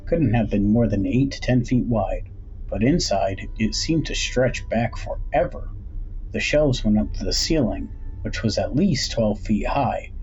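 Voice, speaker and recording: A male audiobook narrator reads a suspense tale in a fairly low voice. His intonation is very animated. The sound is a little dull, with faint background noise. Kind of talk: scripted narration of suspense story. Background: faint ambient music or noise.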